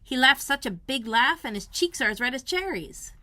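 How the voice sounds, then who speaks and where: A woman voicing an eager, bossy young girl full of wonder in a children's storybook, speaking with animated emphasis. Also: high-pitched, moderate pace, voice pushed up. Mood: enthusiastic.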